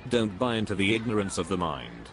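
A pompous cartoon guru, acted by a man, preaching in a dogmatic, dismissive way. His pitch sits a little low and swings around a lot. Clear outdoor noise and chatter run behind him. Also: big pitch swings, a little fast. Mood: preachy, dismissive. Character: pompous preachy man playing enlightened non-duality guru. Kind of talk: scripted animated dialogue.

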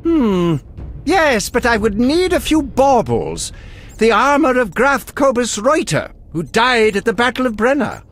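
High-pitched eccentric alchemist. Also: male voice, very animated, a little slow, fairly bright tone, full character voice. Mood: pedantic, relishing. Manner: erudite, precise, relishing grisly detail. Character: eccentric, morbidly curious male alchemist scholar. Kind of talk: video game dialogue acting.

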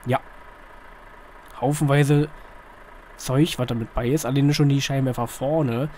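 A slightly hoarse man gives casual, rambling, colloquial commentary on a driving game, often asking himself little questions. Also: mid-range voice, fairly even intonation, unhurried, warm tone. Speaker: gaming video host. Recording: clear vehicle engine noise behind voice. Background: truck simulator engine sound.

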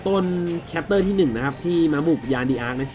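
High-pitched man commentating over a game. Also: expressive, brisk, music in the background. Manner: exclamatory, crude slang, chatty to viewers. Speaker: gaming video host. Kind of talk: casual game commentary with loosely dubbed dialogue.

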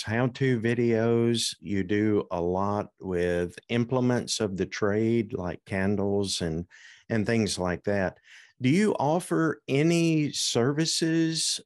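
A man hosting a podcast interview, asking questions in a deliberate way into a studio mic. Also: mid-range voice, darkish tone. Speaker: podcast host. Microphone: studio mic with headset.

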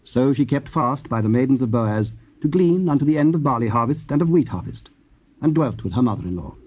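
A man's voice: medium pitch, animated, fairly quick, husky. A man giving solemn, scripted narration of scripture. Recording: dull, lo-fi old cassette.

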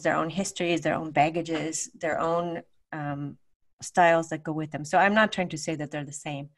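A middle-aged woman with a low voice speaks as a guest lecturer taking questions on a video call. She thinks aloud, often searching for the right word in an informal way. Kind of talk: informal question-and-answer at an online talk. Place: home room with bookshelves, on a video call. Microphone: large desk microphone.

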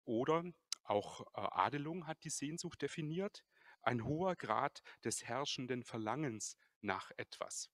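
A man in his thirties moderating a café panel, measured and formal, holding a handheld mic. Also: medium pitch, expressive, a bit bright. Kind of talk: moderating a panel, reading quotes. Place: large café hall, indoors.

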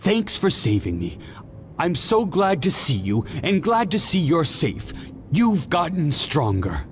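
An actor playing a kindly, earnest elderly professor who mentors a young hero in a video game. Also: male voice, fairly high pitch, huge pitch swings, unhurried, noisy background, full character voice. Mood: warm, encouraging. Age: older. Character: kindly elderly male professor, encouraging and concerned. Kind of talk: video game cutscene acting. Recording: game music behind voice.

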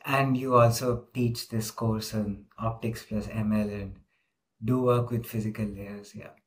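A man's voice: on the low side, fairly even intonation, a little slow. A man hosting an informal interview over a video call, talking casually with frequent fillers. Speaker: interviewer, host.

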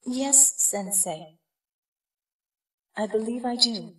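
A woman playing an earnest, reverent young heroine in an audio drama, with a solemn, deliberate manner. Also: a little high, lively intonation, distant mic. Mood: earnest, respectful.

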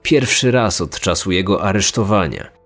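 A man delivering serious, measured scripted true-crime narration as a podcast host. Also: low voice, lively intonation, fairly bright tone. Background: music.

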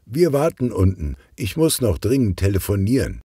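A man voicing a lively, self-confident, chatty thirteen-year-old girl in a children's audio story. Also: on the low side, slow, breathy edge, no room sound, clean studio sound, pitched above the performer's own voice, exaggerated voice. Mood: confident, cheerful.

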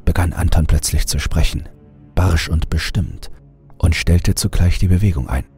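A man with an extremely deep voice narrates a horror story. The tone is dark and heavily rasping. He takes measured, dramatic pauses over faint music.

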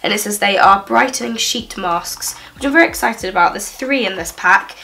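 A woman's voice: mid-range voice, normal speed, gravelly, bright, forceful tone, rough recording. Chatty young woman with a cold. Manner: chatty, upbeat, with frequent "like" and "um". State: congested from a cold. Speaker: beauty vlogger. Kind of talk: casual haul vlog. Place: small indoor living room.

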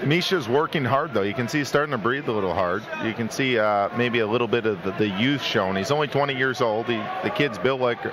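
A man's voice: mid-range voice, fairly quick, few pauses, crowd noise. A man doing play-by-play commentary at a fight, chatty and joking, asking his co-commentator questions. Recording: noisy arena.

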